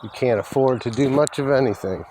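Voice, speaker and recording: A man giving a rambling, folksy and wry walking vlog monologue, speaking haltingly and with strong opinions as he walks outdoors along a road. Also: mid-range voice, warm tone, a little hiss or hum. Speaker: vlogger.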